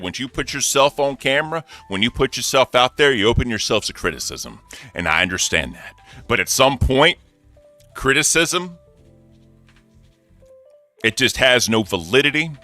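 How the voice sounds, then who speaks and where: A deep-voiced man co-hosting a casual livestream, talking back at critics in a defiant, animated way with swearing. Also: normal speed, soft background music. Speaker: co-host.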